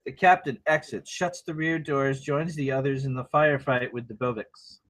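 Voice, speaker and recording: A man's voice: mid-range voice, fairly even intonation, normal speed, fairly bright tone, poor audio. A man reading screenplay action lines as the narrator at an informal table read.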